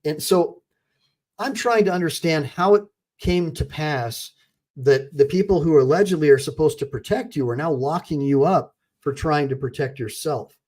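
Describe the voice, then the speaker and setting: A man's voice: fairly high pitch, normal speed, a little mellow. American male attorney ranting to live chat. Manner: talks straight to viewers, reads chat aloud; repetitive, emphatic, with fillers and restarts. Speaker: attorney and livestream host. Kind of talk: casual livestream commentary.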